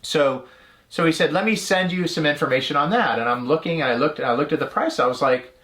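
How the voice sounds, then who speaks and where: Man co-hosting a relaxed chat. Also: a little high, brisk. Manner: relaxed, retells conversations in a livelier voice. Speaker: podcast co-host. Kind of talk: casual storytelling chat.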